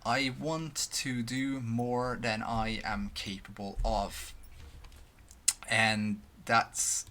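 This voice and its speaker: A young Swedish man talking to his webcam in English, halting with many restarts and fillers. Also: medium pitch, level tone, bright, forceful tone. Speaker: vlogger. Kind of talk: casual talk to camera. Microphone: headphones, desk mic at webcam.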